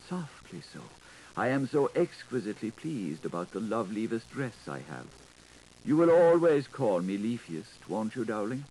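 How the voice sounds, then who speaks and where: A man reading a literary audiobook as a dramatic monologue, in an expressive, musing and intimate way with shifting emphasis. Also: lowish voice. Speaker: audiobook reader. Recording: noisy 1980s audiobook recording.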